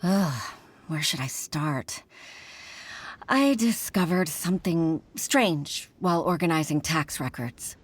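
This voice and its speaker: An actress playing an earnest, troubled young junior official in a video game, speaking formally and with deference. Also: female voice, low voice, lively intonation, slow pace, slightly rough, heavily acted. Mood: frustrated, weary.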